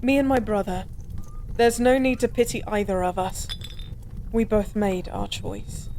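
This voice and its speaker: A woman playing a slow, weary fallen goddess. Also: medium pitch, fairly even intonation, dark tone, slight background noise, natural voice, poor audio. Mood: resigned longing. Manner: slow, brooding, melancholy drunken rambling. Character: drunken fallen goddess, ancient, weary, wistful and resigned. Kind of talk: intimate dramatic audio roleplay monologue.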